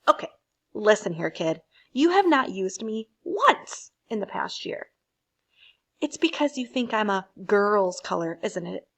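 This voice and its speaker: A woman's voice: mid-range voice, sing-song, unhurried. A woman voicing a sassy, miffed crayon from a picture book, pointed in manner. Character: sassy, miffed pink crayon, feeling overlooked. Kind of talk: picture book read aloud.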